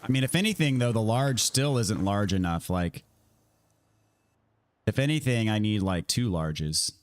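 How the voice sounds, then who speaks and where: A man with an American accent chatting casually to viewers while he plays a video game, his voice a little raspy from a scratchy throat. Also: lowish voice, expressive, unhurried, dry recording. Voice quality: slightly raspy. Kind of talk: casual livestream chat answering viewers while gaming.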